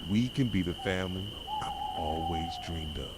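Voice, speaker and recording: A man playing an obsessive, possessive boyfriend in an ASMR roleplay, speaking softly and intensely straight to his partner. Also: low-pitched, moderate pace, very noisy, lightly acted. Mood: hopeful, pleading. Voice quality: soft voice. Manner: intense, pleading yet controlling, addressing a partner directly. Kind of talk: ASMR roleplay, dramatic monologue. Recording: nighttime cricket and owl ambience behind. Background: crickets, insects and hooting owls.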